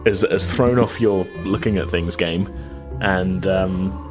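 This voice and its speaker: Low-voiced man running a tabletop game. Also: takes it slowly, music in the background. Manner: hedging, meandering delivery. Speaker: game master. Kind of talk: casual tabletop game narration.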